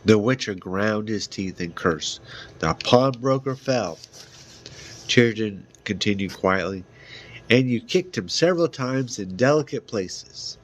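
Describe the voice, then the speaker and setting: A man reading a fantasy novel aloud as an amateur, halting at times, with small stumbles and repeated words. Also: on the low side, expressive, a little hiss or hum. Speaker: amateur reader.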